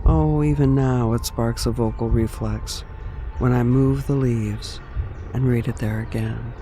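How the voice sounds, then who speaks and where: A man reciting a reflective literary piece in a measured, deliberate way. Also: mid-range voice, fairly even intonation, dark tone, faint music behind.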